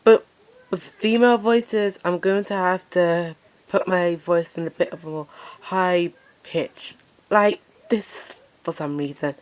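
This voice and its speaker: Woman, very low deep voice, nervous casual host introducing her story channel, lots of fillers. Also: takes it slowly. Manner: nervous, hesitant, many fillers. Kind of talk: casual self-introduction to camera.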